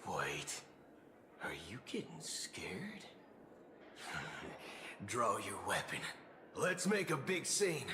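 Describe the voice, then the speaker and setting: Brash low-voiced space cowboy. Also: male voice, huge pitch swings, drawn-out words, long pauses, background noise, exaggerated voice. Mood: menacing, brash. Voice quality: low-pitched. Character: brash male space cowboy ranger, cynical and scheming. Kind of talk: video game dialogue acting. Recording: loud game music under voice.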